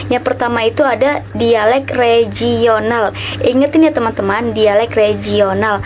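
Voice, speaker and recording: A woman's voice: fairly high pitch, a little hiss or hum, low-quality recording. A young woman with a Javanese accent, explaining a lesson in a chatty way and asking her viewers questions. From Java, Indonesia. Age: young adult. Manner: chatty, addresses viewers directly with rhetorical questions. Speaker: student teacher explaining a lesson. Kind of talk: casual educational video.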